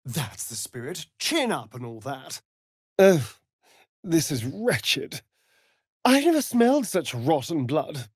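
A man's voice: on the high side, very animated, takes it slowly, breathy edge, dry recording, studio quality, full character voice. A man with an English accent playing a vain, sardonic vampire rogue in a video game, with an arch, mocking, drawling delivery. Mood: disdainful. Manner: arch, drawling, mocking, swinging between purr and outburst. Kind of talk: video game character voice lines.

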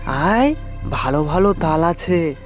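An eerie ghost of the trees acted out by a male narrator beckons its victim in an audio horror story. The voice is high, coaxing and repetitive. Music plays underneath. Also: expressive, slow pace. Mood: eerie, luring. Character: eerie upside-down tree ghost luring a victim.

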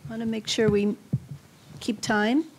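A woman moderating a panel, making brisk announcements about time and the next speaker and slipping into Spanish, picked up from a distance on a room microphone. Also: on the high side, fairly even intonation, noisy background.

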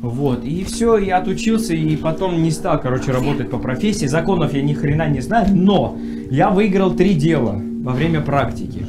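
A man's voice: a little high, animated, moderate pace, music in the background. A young man in his twenties from Nagorno-Karabakh, joking and rambling in a casual interrogation game, recorded in a wooden house living room. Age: 20s. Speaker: reality show contestant. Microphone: far ceiling camera.

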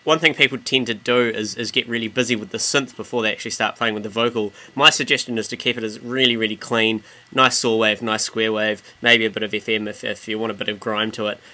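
Male music software tutor, bright forward voice, informal, explanatory, rephrases as he goes. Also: on the low side, fairly even intonation, quick pace. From New Zealand. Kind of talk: casual screen-recorded software tutorial.

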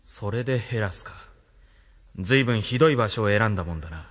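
Low-voiced, coolly ambitious officer. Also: male voice, lively intonation, a little fast. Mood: bitter, reflective. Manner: cool, self-assured, mocking. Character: ambitious, cynical military officer risen from the slums. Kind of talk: anime-style audio drama acting.

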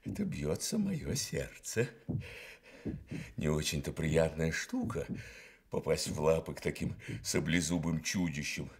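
Cowardly lion, very deep, wildly swooping intonation, big-hearted, anxious, radio play. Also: male voice, very animated, measured pace, slightly rough, exaggerated voice. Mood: shaken, relieved. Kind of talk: Soviet radio play acting.

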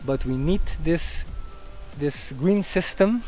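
Higher-voiced Curaçaoan man, music behind. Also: slow pace, darkish tone. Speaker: kayak guide and conservationist. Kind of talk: informal explaining to camera. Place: moving car and outdoor mangrove shore.

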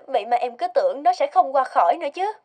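High, lively cartoon wife. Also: female voice, fast. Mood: relieved. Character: worried wife, relieved about her pet rabbit. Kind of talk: Vietnamese cartoon dubbing.

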